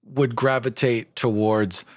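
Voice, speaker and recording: A man's voice: mid-range voice, steady tone, slow, no room sound, clean studio sound. A man chatting casually as a podcast co-host, giving emphatic, appreciative commentary.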